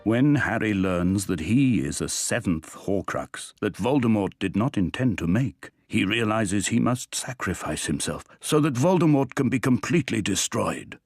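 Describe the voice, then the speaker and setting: A man voicing the dramatic storyteller of a fantasy video game, reading scripted narration in a measured way. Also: on the low side, lively intonation, vocal fry, a little mellow, no echo, studio quality, faint music behind.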